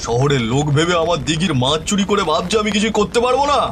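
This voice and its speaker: Man acting an indignant landowner, animated. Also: sits high, quick pace, slight background noise. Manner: indignant, emphatic questioning. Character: irritated male city-bred landowner, worried and suspicious. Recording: music bed behind voice.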